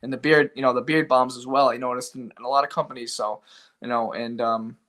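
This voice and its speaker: A man with a Rhode Island accent who founded a small company, talking casually as a guest. He meanders through his points with plenty of fillers, at an ordinary mid-range pitch. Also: steady tone, a little fast, fairly bright tone. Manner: rambling, many fillers. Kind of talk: casual interview talk.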